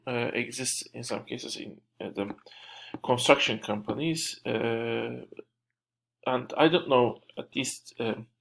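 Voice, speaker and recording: A man with a Turkish accent lectures without a script. His voice sits at a middling pitch with heavy vocal fry. His delivery is halting, full of searches for the right word and reworked phrases. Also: fairly bright tone, slight background noise, poor audio. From Turkey. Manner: halting, searching for words, frequent self-corrections. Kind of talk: unscripted lecture.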